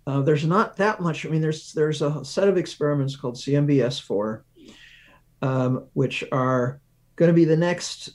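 A man being interviewed as a research physicist, explaining ideas through examples with thoughtful pauses. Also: on the high side, darkish tone.

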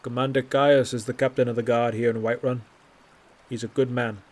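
A man's voice: medium pitch, fairly even intonation, moderate pace, a little mellow, natural voice. A man voicing a bored male city guardsman who speaks in a rehearsed, diplomatic way. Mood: formal.

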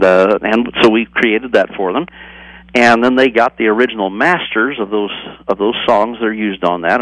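An older American man giving halting, step-by-step explanations as a guest in an informal interview. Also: lowish voice, a bit bright, no echo, poor audio. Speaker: cassette manufacturer being interviewed.

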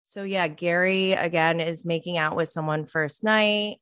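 Slow-talking woman around forty, casual podcast chat. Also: fairly low pitch, steady tone, fairly bright tone, clear, resonant tone. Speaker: podcast host. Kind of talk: casual solo recap chat about reality TV.

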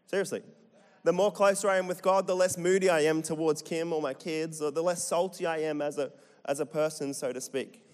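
A middle-aged Australian pastor with a slightly high voice preaches to his church. He jokes and tosses questions to the congregation. His voice rings with a long reverb through the hall. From Central Queensland, Australia. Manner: chatty, jokey, asks the crowd questions. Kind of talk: sermon to a live congregation.

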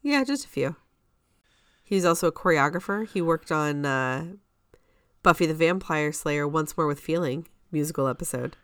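A woman with a slightly low voice speaks as a podcast co-host. She is conversational and tells things like stories, acting out reactions. The recording is dry and free of room sound. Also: lively intonation, normal speed, smooth, no room sound. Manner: conversational storytelling, acts out quoted reactions. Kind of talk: casual chat about musical theater.

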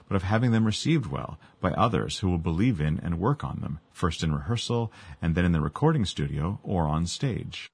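Male podcast host, very deep smooth baritone, a bit husky, calm measured narration, dry close mic. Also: no echo. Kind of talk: scripted narration and interview.

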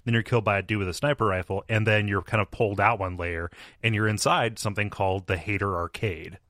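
A man with a low but bright, forward voice talks games on a dry, close mic. He speaks hesitantly, restarting phrases and laughing along. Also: fairly even intonation, fast, dry recording. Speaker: podcast co-host. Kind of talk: casual game discussion.

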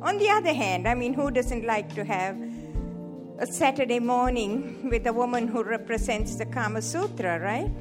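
High-voiced Sri Lankan woman in her seventies. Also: moderate pace, over music. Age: 70s. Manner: joking, lively, playful asides to the audience. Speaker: seminar speaker, sex therapist. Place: hall with a live audience.

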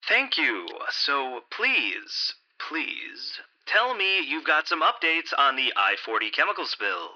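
A man's voice: a little high, sing-song, slow, few pauses, pushed and bright, heavily acted. A man playing a slick, exasperated local radio news host with a showy announcer delivery. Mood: pleading. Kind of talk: radio broadcast acting. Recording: dull AM radio broadcast sound.

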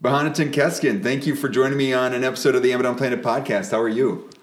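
A man's voice: mid-range voice, fairly even intonation, fast talker. A man hosting a podcast in a casual, conversational way, with informal, enthusiastic affirmations. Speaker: podcast host.

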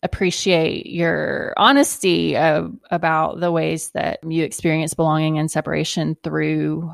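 A woman hosting a conversation, speaking gently and gratefully in a soft-spoken way. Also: sits low, level tone, unhurried, gravelly, no echo, clean studio sound. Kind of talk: reflective conversation.

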